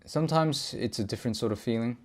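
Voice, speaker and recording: A man recording a reflective personal audio log, speaking haltingly as he searches for words and often pauses mid-thought. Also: mid-range voice. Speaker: podcast host.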